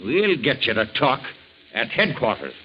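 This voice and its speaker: An actor playing a gruff, tough-talking police officer with slangy speech in an old radio drama. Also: male voice, medium pitch, sing-song, a little slow, loud music behind the voice. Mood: tough, accusing. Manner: slangy, hard-boiled cop talk. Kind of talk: 1940s radio acting. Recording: noisy, dull 1940s radio recording.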